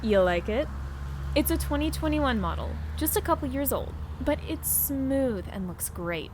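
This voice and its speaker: Woman acting flippant, higher-voiced sarcastic sister. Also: lively intonation, a little slow. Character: flippant adult sister, impatient, sardonic, bossy. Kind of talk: audio drama dialogue. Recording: clear ambient noise behind.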